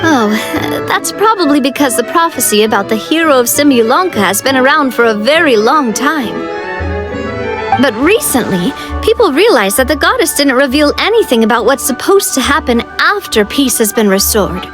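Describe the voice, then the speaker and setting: A woman's voice: high voice, big pitch swings, normal speed. A woman playing a confident, upbeat young female leader in a video game, breezy and self-assured in her delivery. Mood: matter-of-fact. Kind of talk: animated game dialogue. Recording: music playing behind the voice. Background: game music.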